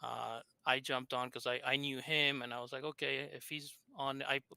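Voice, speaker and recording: Man, thick argentine accent, bright tense voice, flat delivery, dry sound, hesitant fillers. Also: mid-range voice, normal speed, creaky, smooth, dry recording. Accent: Argentine Spanish. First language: Spanish. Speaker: game developer guest. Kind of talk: casual interview chat.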